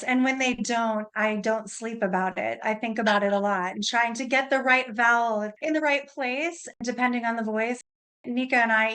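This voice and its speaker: Higher-voiced woman, earnest interview guest. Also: a bit bright. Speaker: interview guest, opera librettist and singer. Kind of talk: conversational radio interview.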